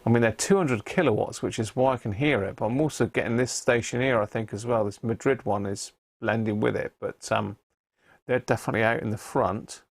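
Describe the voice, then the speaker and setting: British man with a creaky voice, chatting live. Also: mid-range voice, a little fast, no room sound, clean studio sound. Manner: informal, tag questions, thinking aloud. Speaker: livestream host. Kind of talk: casual live chat, talking to viewers.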